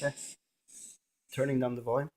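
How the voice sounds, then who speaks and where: A man's voice: mid-range voice, little pitch movement, brisk, background noise. A man presenting a casual hands-on gadget demonstration, narrating each step in short fragments.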